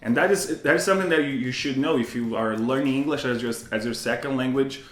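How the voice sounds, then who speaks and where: A man's voice: medium pitch, fast talker, a bit bright, roomy sound. A man from Brazil with a Brazilian accent, a native Portuguese speaker and English teacher, talking emphatically as a guest in a casual interview.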